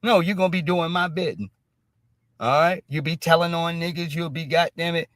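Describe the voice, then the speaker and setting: A man's voice: on the high side, moderate pace, a bit bright, no room sound, clean studio sound. Man ranting with constant "all right?". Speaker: streamer host. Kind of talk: ranting commentary on a clip.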